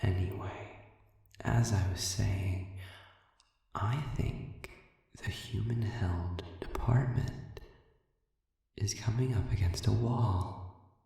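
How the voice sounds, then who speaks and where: A man playing a suave, sadistic demon in an audio roleplay, speaking in a measured, condescending, formal way with lingering pauses and a breathy voice that often sinks toward a whisper. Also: on the low side, dark tone. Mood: taunting, calculating. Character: suave, sadistic, manipulative male demon prisoner. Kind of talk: audio roleplay, slow intimate monologue addressed to listener. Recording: echoey hall-like reverb, distant.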